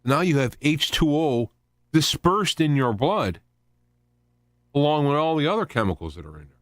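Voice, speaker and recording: A man hosting an informal live radio show, explaining things in a rambling, halting monologue with repeated word starts. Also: a little high, big pitch swings, dry recording, studio quality. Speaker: radio host.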